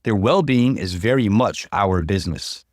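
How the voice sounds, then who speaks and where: A man's voice: on the low side, lively intonation, moderate pace, no echo, studio quality. Man doing a stern, overbearing older father-in-law.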